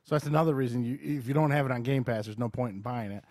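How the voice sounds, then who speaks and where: A man's voice: medium pitch, very fast, a little mellow, no echo, studio quality. A man hosting a podcast, casually reviewing video games in informal, slangy language and short bursts of explanation. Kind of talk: casual chat, game review.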